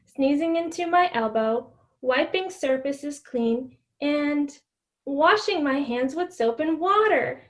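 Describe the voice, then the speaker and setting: Eager, dutiful little storybook girl acted by a woman, high voice. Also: animated, slow pace, poor audio.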